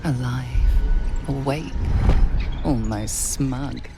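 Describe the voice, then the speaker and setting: Dark fantasy game narrator, female, extremely low creaky voice, slow, suspenseful, ominous, loud music underneath. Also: full character voice. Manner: deliberate, suspenseful. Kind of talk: dramatic second-person game narration.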